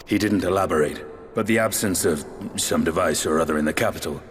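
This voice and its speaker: An actor playing an aloof, gruff lancer in a fantasy game cutscene, speaking tersely in formal, archaic phrasing. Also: male voice, deep voice, a little fast, natural voice. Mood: grave, matter-of-fact. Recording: long reverb, music behind.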